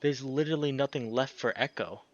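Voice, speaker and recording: A man's voice: medium pitch, fairly quick, muffled recording. A man giving casual, emotional gameplay commentary as a let's play host, effusive and exclamatory, drawing out his words over game music.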